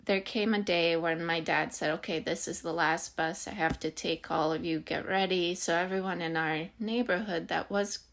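A woman in her thirties from Bosnia, a podcast guest sharing personal stories in a conversational, halting way. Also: lowish voice, fairly even intonation. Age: 30s. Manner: conversational, halting, often says "like" and "you know". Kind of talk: casual personal storytelling.

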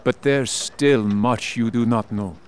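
A man's voice: mid-range voice, takes it slowly, slight background noise, close to an everyday voice. A man playing an earnest old-fashioned friend. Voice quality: archaic, earnest speech. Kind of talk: radio drama acting.

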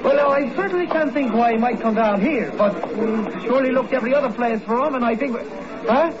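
A woman playing an excitable, urgent searcher in a 1950s radio drama. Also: a little high, quick pace, a loud crowd, natural voice. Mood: puzzled. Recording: muffled 1950s radio, loud background noise.

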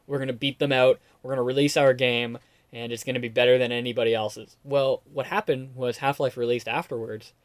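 A man's voice: medium pitch, dry recording. A man hosting a casual podcast chat, talking in a rambling way with lots of fillers. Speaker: podcast host.

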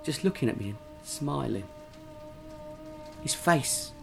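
A man's voice: mid-range voice, lively intonation, creaky, fairly bright tone, faint music behind, heavily acted. An actor playing a nervous drifter who stammers and halts as he recounts something horrifying. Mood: nervous, shaken. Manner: halting, stammering, frightened.

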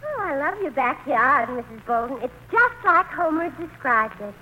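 An actress playing an eager, polite, gushing fiancée in a 1940s radio play, genteel in manner. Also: female voice, sits high, big pitch swings, moderate pace, lo-fi sound. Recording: noisy old radio broadcast.

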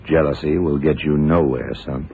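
An actor playing a gruff middle-aged FBI investigator in a radio drama, questioning in a dry, deliberate, probing way. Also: male voice, rumbling low, fairly even intonation. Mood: dry, wry. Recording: muffled, noisy 1960s radio drama recording.

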